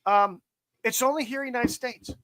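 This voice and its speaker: A man's voice: extremely high pitch, a little fast, a bit bright, poor audio. A man hosting a casual political chat, ranting and swearing emphatically. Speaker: podcast host.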